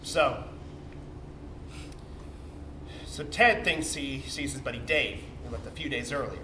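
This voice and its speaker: A man's voice: medium pitch, normal speed, close to an everyday voice. A man on stage playing a cocky, bitter young sperm cell, telling his story as a slangy, swearing, defensive bro in a dramatic comic monologue. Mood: grave, tense. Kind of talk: theatrical comic monologue, confessional storytelling to audience. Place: indoor theatre stage, recorded from a distance. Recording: roomy, distant stage recording with faint noise.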